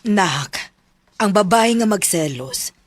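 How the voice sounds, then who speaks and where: A woman's voice: on the low side, lively intonation, slow pace, dry recording. An actress playing a concerned middle-aged mother counselling her son in a radio drama, with a Cebuano Filipino accent. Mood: gently admonishing. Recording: clearly noisy background.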